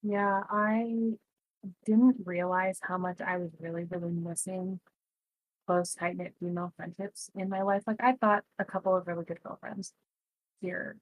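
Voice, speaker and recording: A woman's voice: fairly low pitch, fairly even intonation, a little slow, no room sound, low-quality recording. A woman in her early thirties co-hosting a podcast, talking earnestly and reflectively in casual chat. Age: 30s. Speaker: podcast co-host.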